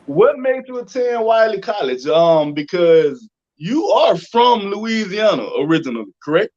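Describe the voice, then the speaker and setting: A slightly muffled, lo-fi recording of an American man with a fairly high voice. He hosts a casual interview, phrasing his questions informally and working them out aloud. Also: sing-song, unhurried, darkish tone. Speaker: interview host. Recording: dull lo-fi audio.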